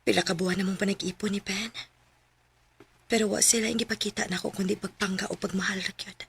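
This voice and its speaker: An actress playing a gentle, affectionate married woman in love with an older man in a Cebuano radio romance drama, with a Cebuano accent. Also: medium pitch, fairly even intonation, quick pace, slight background noise, lightly acted. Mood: wistful narration.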